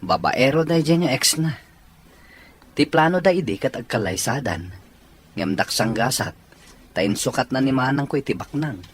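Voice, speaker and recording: A man's voice: medium pitch, expressive, moderate pace, long pauses, slight background noise, close to an everyday voice. An actor playing an earnest, worried young man about to marry, in a radio drama. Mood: earnest, resigned.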